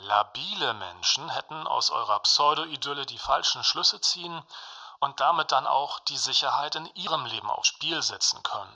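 A man's voice: mid-range voice, expressive, moderate pace, bright, forceful tone, full character voice. An actor playing a self-righteous left-wing singer in his late thirties, preachy and wordy as he justifies himself in a satirical radio play. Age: late 30s.

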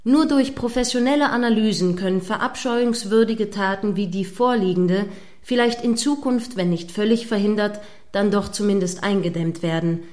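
A woman playing an earnest, primly correct radio news presenter in a radio play, speaking in formal, measured, wordy sentences. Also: medium pitch, darkish tone, lightly acted. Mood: stern, moralizing. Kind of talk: satirical radio play as live news broadcast.

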